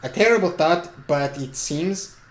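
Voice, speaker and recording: A composed, serious gentleman played by a woman in an amateur drama reading. The delivery is formal and measured, with a German accent. The room is echoey, with faint noise. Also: sits low, animated. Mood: grave, restrained.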